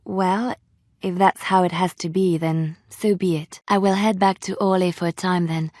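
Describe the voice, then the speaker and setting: An actress voicing a gentle, devout young woman adventurer in a video game, soft-spoken and earnest, by turns wistful and playful. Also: fairly low pitch, fairly even intonation, moderate pace, no room sound, clean studio sound, natural voice. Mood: wistful farewell. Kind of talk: video game voice acting, intimate dialogue.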